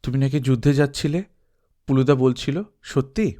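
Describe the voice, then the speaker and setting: A man voicing a shy, playful and affectionate young village bride in storybook dialogue, coy and gently teasing. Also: mid-range voice, moderate pace, lightly acted. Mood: playful, curious.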